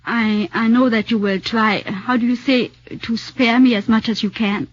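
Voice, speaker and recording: A woman voicing a cool, proud actress wife, speaking in halting English in a 1940s radio drama, on a muffled old broadcast recording. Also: fairly high pitch, fairly even intonation, no echo, natural voice. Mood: guarded.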